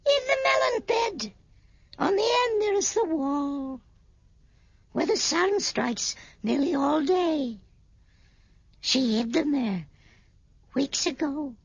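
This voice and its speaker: An excitable little bird, piping extremely high in a slow, swooping singsong. It is boastful and fluttery, a radio play creature on a dull old recording. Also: female voice, no echo, exaggerated voice. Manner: shrill, drawn-out singsong delivery.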